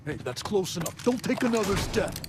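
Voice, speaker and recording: An actor playing a nervous, hesitant security guard in a video game. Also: male voice, sits high, animated, a little fast, noisy background. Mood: tense warning. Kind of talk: cinematic video game acting.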